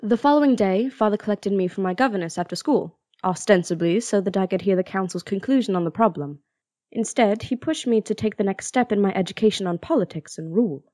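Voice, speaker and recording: A woman's voice: mid-range voice, fairly quick, mellow, no echo, studio quality, lightly acted. A woman playing an earnest, thoughtful young princess in an audio drama, polite and articulate. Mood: reflective. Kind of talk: audio drama acting and first-person narration.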